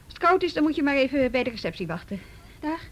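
An actress playing a businesslike female team leader in a naturalistic radio drama, brisk and conversational. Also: high-pitched, sing-song, a little hiss or hum. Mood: firm, calming.

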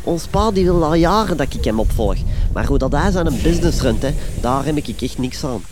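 An actor playing an earnest, admiring young village apprentice with a Flemish accent, speaking deferentially in a radio drama. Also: male voice, fairly high pitch, animated, normal speed, noisy background, lightly acted.

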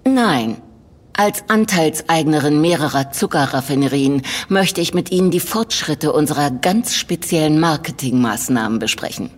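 Husky, commanding businesswoman. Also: low voice, expressive, moderate pace. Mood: commanding, cool. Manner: clipped, formal, domineering. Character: cold, commanding female shareholder and conspirator. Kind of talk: German audio drama acting.

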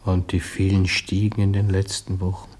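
A man playing a jaded, grumbling official in a German radio play with a gravelly voice. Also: deep voice, monotone, moderate pace, darkish tone. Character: jaded official shrugging at endless celebrations.

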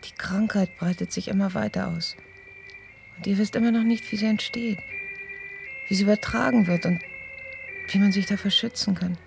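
An actress playing a researcher's concerned, gently frank partner in a naturalistic radio play, speaking in an intimate, reasoning way. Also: female voice, mid-range voice, fairly even intonation, moderate pace, vocal fry, pauses often, faint music behind, natural voice. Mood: frightened.

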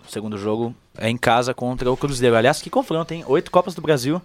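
A young man with a São Paulo accent, leading a casual student radio football debate with quick, teasing remarks. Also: mid-range voice, lively intonation. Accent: São Paulo Portuguese. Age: young adult. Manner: quick, teasing, leads the talk.

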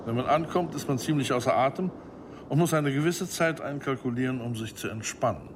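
A man's voice: medium pitch, a little fast, close to an everyday voice. Casting: actor for a methodical male operative in radio drama, mid-register, precise businesslike briefing, noticeable noise behind. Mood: matter-of-fact, precise. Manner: matter-of-fact, precise, clipped phrases.

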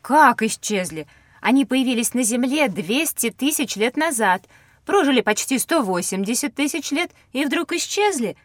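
A woman playing a bright, curious child who speaks articulately in an educational audio drama. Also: sits high, animated, normal speed, no echo, clean studio sound. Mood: curious, knowing. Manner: inquisitive, articulate. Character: bright curious child on a time-travel lesson.

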